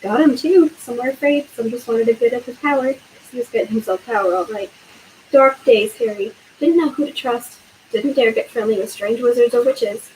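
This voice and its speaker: A woman voicing a big, gruff but kindly male giant groundskeeper with a homely dialect, read aloud as a storybook. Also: fairly high pitch, moderate pace, a little mellow, slight background noise, close to an everyday voice, low-quality recording. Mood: worried.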